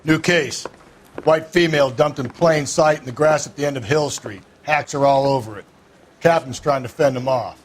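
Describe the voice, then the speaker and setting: A man playing a gruff, cynical middle-aged homicide detective with an American accent in a 1940s crime game, terse and condescending. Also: medium pitch, moderate pace, slight background noise, close to an everyday voice. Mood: brisk, dry. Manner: terse, condescending, world-weary.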